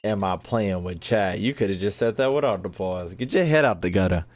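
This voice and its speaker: A man's voice: low-pitched, fairly quick. An American man giving casual livestream game commentary, joking and swearing in loose slang. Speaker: game streamer. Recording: game music behind the voice. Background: video game music and dialogue.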